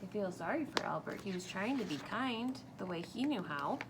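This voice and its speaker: Lively woman reading a picture book aloud. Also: mid-range voice, moderate pace, noisy background. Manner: asks children questions about the story. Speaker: storytime reader.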